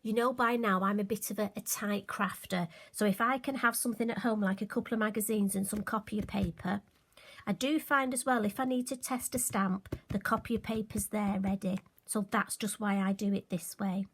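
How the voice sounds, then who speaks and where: A friendly woman talks her viewers through a card-making demonstration in a casual, self-deprecating way. Her voice is slightly hoarse and occasionally croaky, sitting at an ordinary middle pitch. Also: fairly even intonation, fairly quick, a bit bright, slight background noise, rough recording. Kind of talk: casual crafting tutorial.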